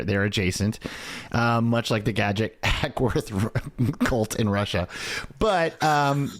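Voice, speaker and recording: A man in his thirties hosting a podcast, explaining things in a conversational way. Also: mid-range voice. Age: 30s. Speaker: podcast host.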